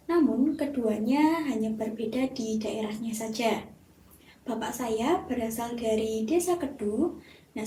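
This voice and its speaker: A young woman from Central Java, a university student reading a prepared self-introduction for a class assignment in measured, explanatory sentences. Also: a little high, mellow. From Temanggung, Central Java, Indonesia. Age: young adult. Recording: roomy echo, distant mic, faint hiss.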